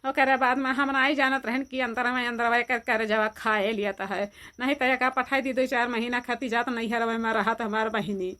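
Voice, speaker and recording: Woman acting high-voiced grieving village mother-in-law, Awadhi accent. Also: steady tone, bright, forceful tone. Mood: anguished lament. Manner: plaintive, drawn-out lamenting, breaking into mournful song. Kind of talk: amateur cartoon drama dub.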